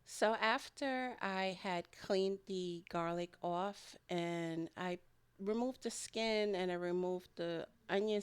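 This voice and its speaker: A woman's voice: lowish voice, clear, resonant tone. A woman narrating a homemade food vlog in a casual, rambling way, often with a light chuckle in her talk. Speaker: home food and garden vlogger. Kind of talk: casual voice-over narration of a kitchen process.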